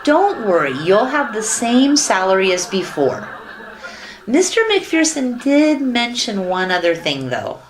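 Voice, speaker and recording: An actress playing a cheerful, affectionate, managing wife with a breezy, soothing manner in a comedic radio play. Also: female voice, fairly high pitch, sing-song, unhurried, slight background noise, rough recording.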